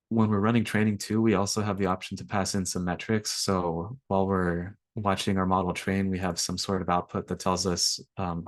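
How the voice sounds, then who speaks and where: A young man, slightly raspy from allergies, walking through a coding workshop in a relaxed way with lots of ums. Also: sits low, no room sound. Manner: relaxed, filler-heavy explaining. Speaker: workshop instructor. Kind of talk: screen-share coding tutorial.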